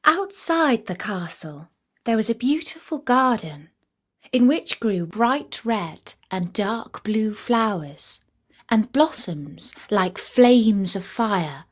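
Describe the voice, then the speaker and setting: A woman reading a fairytale as a storyteller, in an expressive, measured storybook manner. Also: medium pitch. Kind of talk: scripted fairytale narration.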